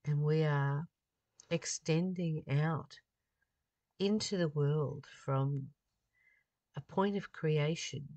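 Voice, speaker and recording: A person reading a spiritual text aloud and adding informal, reflective commentary, pausing mid-phrase in a halting way. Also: mid-range voice, darkish tone, no echo, dull audio. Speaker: spiritual study reader and commentator.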